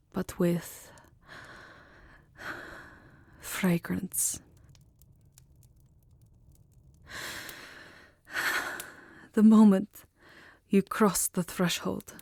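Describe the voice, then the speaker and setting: A woman voicing a lovestruck, lonely vampire countess in an intimate ASMR roleplay, breathy, whispery and theatrical. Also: lowish voice, mellow, no room sound, exaggerated voice. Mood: ominous, hushed.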